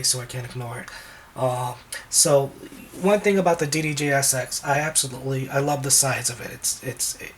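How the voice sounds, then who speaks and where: A man with a slightly hoarse voice, speaking at an ordinary middle pitch. He chats casually as a wedding DJ going over his gear. He rambles in a relaxed way, with lots of ums and restarts. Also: a little hiss or hum.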